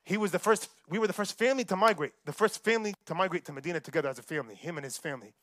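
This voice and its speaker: A young man giving a Friday sermon in a mosque, preaching in an animated way and mixing recited verses with casual asides. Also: high-pitched, very fast, dry recording, clean studio sound. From United States.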